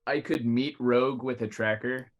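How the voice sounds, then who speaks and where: A man playing a tabletop game, improvising casually in a low-key, halting manner. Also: fairly even intonation, lo-fi sound.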